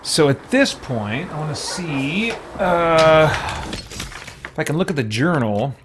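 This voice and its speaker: A man's voice: a little high, lively intonation, slow, slight background noise. A man giving casual game playthrough commentary, rambling and thinking aloud as he goes. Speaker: gaming streamer. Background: quiet music.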